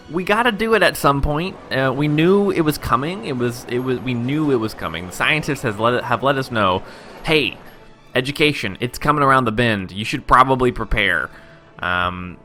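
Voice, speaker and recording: A young man hosting a casual let's-play, rambling with self-interrupting asides, his voice lower than usual because he has just woken up. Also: bright, forceful tone. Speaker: gaming video host.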